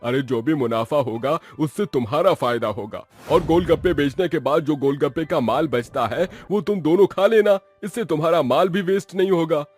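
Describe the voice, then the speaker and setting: A man's voice: on the high side, normal speed, hardly any pauses, a little mellow, faint music behind, voice pushed up, natural voice. A man voicing an exasperated, scheming middle-aged husband in a cartoon family comedy, with emphatic, complaining delivery. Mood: eager, persuasive.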